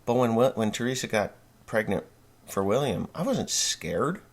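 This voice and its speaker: A man's voice: medium pitch, very animated, moderate pace, gravelly. A man in his forties, from New Jersey, talking bluntly and folksily in a rambling monologue, with many fillers and restarts. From New Jersey, USA. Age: 40s. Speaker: podcast host.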